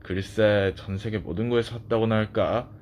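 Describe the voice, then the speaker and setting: Storybook rat, played by a man: gentle traveller, lowish, calm, quiet, unhurried. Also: a little hiss or hum, lightly acted. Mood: thoughtful. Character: gentle, wise, well-travelled wandering male rat.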